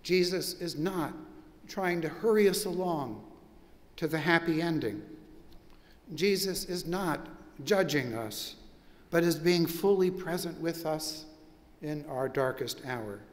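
An older man, a pastor, preaching a measured, reflective sermon with long pauses in a large church sanctuary. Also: a little high, big-room sound.

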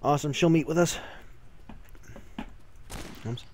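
A man's voice: a little high, measured pace, a little mellow. A man casually chatting while playing a co-op zombie game with a friend, joking, rambling and laughing often. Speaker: gameplay commentator. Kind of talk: casual gameplay chat with a friend.

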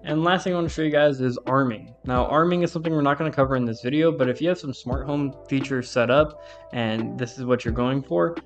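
A man's voice: mid-range voice, quick pace, lo-fi sound, soft background music. A man presenting a step-by-step tech tutorial in an informal, conversational instructional manner. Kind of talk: casual step-by-step software walkthrough.

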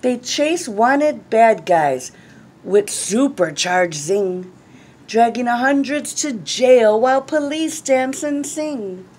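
Slow-reading, higher-voiced woman storyteller, noisy recording. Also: animated, a little mellow. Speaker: storyteller reading a picture book to children. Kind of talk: read-aloud storytelling. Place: small classroom, indoors. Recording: clearly noisy room recording.

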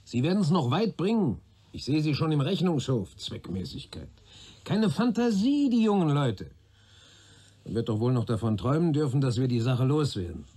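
A wry older police chief in a radio crime play. His husky, mellow voice swings in lively melody as he rambles, jokes and grumbles to a junior colleague. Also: a little high, normal speed. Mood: musing, persuasive. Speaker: actor in a radio crime drama. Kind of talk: German radio play dialogue.

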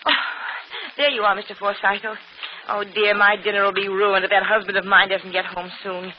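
An actress playing a weary, bossy, middle-aged vaudeville wife, scolding plainly in a 1940s radio play. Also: female voice, medium pitch, flat delivery, brisk, lightly acted. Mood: fussing, bossy. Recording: muffled, noisy old radio broadcast.

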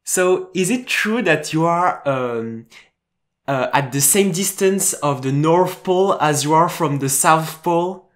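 A young man hosting an interview over a video call from home, asking questions haltingly with many fillers. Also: on the high side, animated. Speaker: host. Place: home room. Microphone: earphone mic on a video call.

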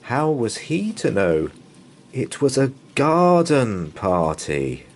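Man, bedtime storyteller, very animated pitch, unhurried, dramatic pauses, noticeable background noise. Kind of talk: read-aloud children's bedtime story. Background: open fire ambience.

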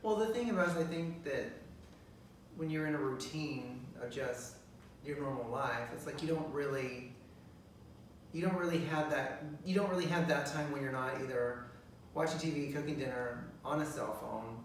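A man's voice: medium pitch, recorded from a distance, a little hiss or hum. Mush-mouthed Southern man, echoey room. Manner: mush-mouthed, pauses and restarts while thinking. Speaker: co-host. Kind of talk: casual chat. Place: living room, seated on a leather sofa. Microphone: phone camera from across the room.

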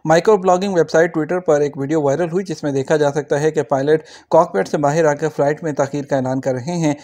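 A man's voice: a little high, fairly even intonation, fast talker, a little mellow. A man reading scripted news items as a narrator for an online channel, in a formal manner.

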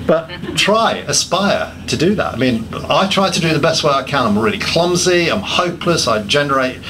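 A man's voice: a little high, expressive, moderate pace, bright, forceful tone, a little hiss or hum, low-quality recording. A man giving a public talk, listing points emphatically and often restarting his sentences with self-deprecating asides. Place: pub function room.

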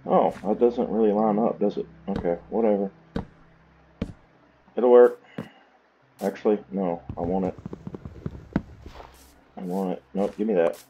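A man with a Southern US accent gives casual, rambling game commentary in a lowish voice. He talks at a very unhurried pace, mostly to himself. Clear background noise and clicks run through the home recording. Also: mellow, lo-fi sound. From Southern United States. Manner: self-talking, rambling. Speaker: gaming series host.

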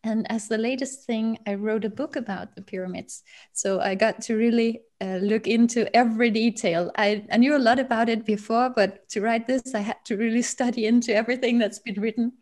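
A woman's voice: on the high side, fairly even intonation, a little fast, a little mellow. A woman in her thirties, an author and guide, talking casually about her experiences on a video call from a room at home. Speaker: author and tour guide, webinar guest.

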